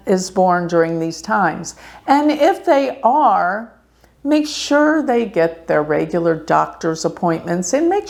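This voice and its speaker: A woman astrologer giving an earnest, slow warning talk to her viewers, clearing her throat now and then. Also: mid-range voice, a little mellow. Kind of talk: casual talk to camera.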